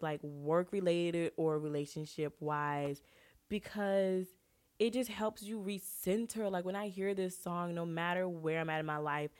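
A young woman with a low voice hosts her own podcast, talking straight to her listeners. She is congested and sniffly from a cold, and she asks them direct, earnest questions in a casual, personal way. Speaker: podcast host. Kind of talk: casual solo podcast advice talk.

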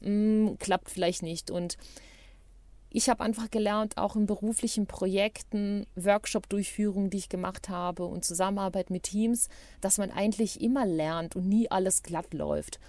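A woman's voice: medium pitch, moderate pace, dark tone, no echo. A woman co-hosting a podcast, speaking German that is not her first language, enthusiastic and reflective in casual chat. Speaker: podcast co-host.

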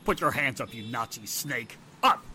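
An actor playing a tough, authoritative police inspector in a 1940s-style radio drama, gruff and commanding. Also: male voice, medium pitch, animated, normal speed, very noisy, lightly acted.

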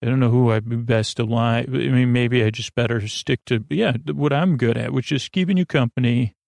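Guy with a gravelly voice rambling softly for a sleep show, dead dry mic, lots of uhs. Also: medium pitch, fairly quick, dry recording. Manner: meandering, goofy, filler-heavy. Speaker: sleep podcast host. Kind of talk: rambling bedtime monologue.

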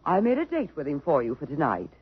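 Lady doing a flustered mom, husky and jumpy in pitch, muffled old radio. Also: huge pitch swings, fast, slight background noise. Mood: fretful. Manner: flustered, fussy. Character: fretful middle-aged mother of a household. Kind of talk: 1950s radio drama acting.

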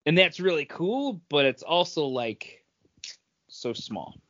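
A man co-hosting a podcast, chatting casually about pop culture as he explains his opinions with halting self-corrections. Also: fairly high pitch, big pitch swings, a bit bright, no room sound, highs cut off. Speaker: podcast co-host.

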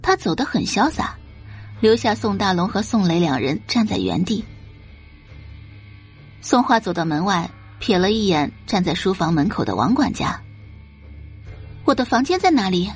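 A woman reading scripted narration for an audio drama in an expressive storytelling style. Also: fairly low pitch, normal speed, husky, faint music behind.

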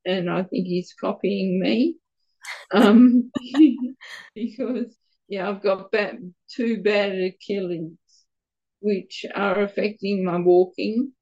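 A woman speaks at a very unhurried pace, her voice quiet and gravelly. She halts and restarts now and then as she answers. The sound is dull and lo-fi. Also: medium pitch, steady tone. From Australia. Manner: halting, stumbles a little over words. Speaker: guest with Parkinson's. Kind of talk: casual interview answers.

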